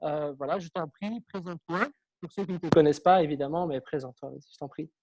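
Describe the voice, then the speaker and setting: Mellow relaxed male host. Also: a little high, fast. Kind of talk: casual interview.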